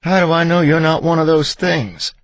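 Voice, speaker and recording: A man voicing a frightened, frantic young mother in an audiobook, with a strained, shrill, defensive delivery. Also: normal speed, dry recording, natural voice. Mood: shaken, distrustful. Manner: panicked, shrieking, defensive.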